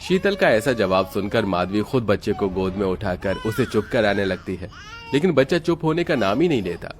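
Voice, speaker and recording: A man's voice: lowish voice, big pitch swings, normal speed. A man narrating a scripted moral story for children as its storyteller.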